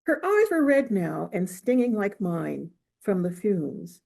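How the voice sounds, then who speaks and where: A woman reading literary fiction aloud in a measured, expressive storytelling manner. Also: medium pitch, gravelly. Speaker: author reading from own novel. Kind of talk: audiobook-style literary reading.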